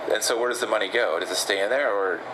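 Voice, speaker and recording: A man making a complaint as a customer on a phone call, insistent and argumentative, often repeating himself haltingly. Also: on the low side. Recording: noisy recorded phone call.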